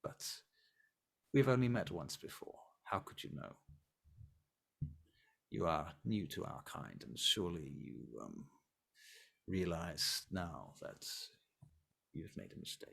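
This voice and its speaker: A man with a low, creaky voice, voicing a suave and imperious male vampire prince who speaks in a formal, measured way. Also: big pitch swings, a bit bright, heavily acted. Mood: cold.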